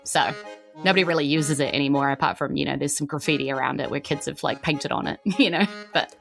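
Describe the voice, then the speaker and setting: Bright-voiced New Zealand woman game master. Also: sits low, fast, vocal fry. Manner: informal, conversational. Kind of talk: improvised tabletop game narration. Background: faint music.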